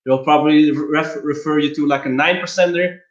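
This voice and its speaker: A man's voice: a little high, steady tone, a little fast. Man in a roomy, dull recording. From Belgium. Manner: informal, explains step by step. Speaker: interview guest, LinkedIn marketer. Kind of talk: casual explanatory interview talk. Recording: roomy, slightly dull online-call audio.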